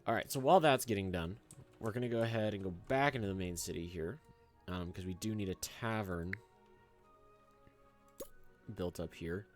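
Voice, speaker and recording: A young man hoarse from a cold, rambling casually with lots of fillers as he hosts his gameplay commentary. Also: sits low, moderate pace, pauses often, soft background music. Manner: rambling, filler-heavy and chatty. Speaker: gaming channel host.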